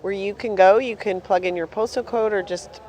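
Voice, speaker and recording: A woman's voice: medium pitch, fairly even intonation, normal speed, a little mellow, a little hiss or hum. A woman producer, giving matter-of-fact answers in an interview. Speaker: film producer.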